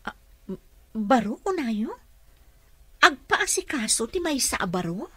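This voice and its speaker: A woman's voice: on the high side, big pitch swings, unhurried, slightly rough, exaggerated voice. A woman playing a caring but frank single mother and nurse in an Ilocano radio comedy-drama. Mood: polite, frank.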